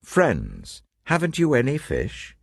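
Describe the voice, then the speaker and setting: A British man voicing Jesus as a calm, authoritative and gentle teacher in a Bible reading, slow, solemn and tender. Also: medium pitch, creaky, dry recording, clean studio sound, voice pushed up, full character voice. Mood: calm, inviting.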